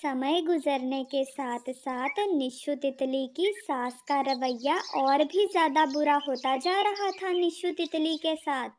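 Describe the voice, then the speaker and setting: A woman's voice: high-pitched, slow, few pauses, slight background noise. A woman narrator reading a scripted children's story.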